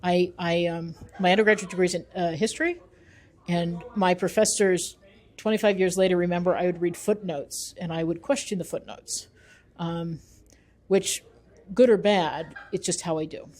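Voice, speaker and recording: A woman's voice: fairly low pitch, steady tone, normal speed, darkish tone. A middle-aged woman, an author and researcher, answering interview questions conversationally in an emphatic, opinionated, explanatory manner.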